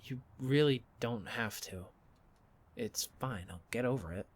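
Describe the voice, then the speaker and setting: A man playing a blunt, bewildered and wary young man in an audio drama, with halting, incredulous delivery. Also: mid-range voice, slightly rough, no room sound. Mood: uneasy, resigned. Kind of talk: audio fiction podcast acting.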